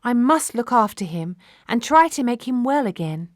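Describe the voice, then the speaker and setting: Woman acting a tiny fairy-tale girl, high pitch, sweet, earnest, emotional, dry recording. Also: big pitch swings, moderate pace, no echo. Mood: concerned.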